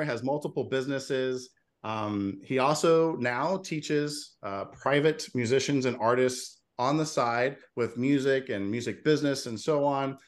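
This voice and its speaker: Forties male host, friendly and chatty, easy laugh, mid-pitched voice. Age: 40s. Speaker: podcast host. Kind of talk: casual interview hosting.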